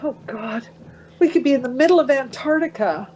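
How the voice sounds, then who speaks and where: A woman's voice: high-pitched, expressive, normal speed, a little mellow, slight background noise, rough recording. An actress playing a wry, anxious middle-aged mother of teenagers in a radio play. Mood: shaken, anxious.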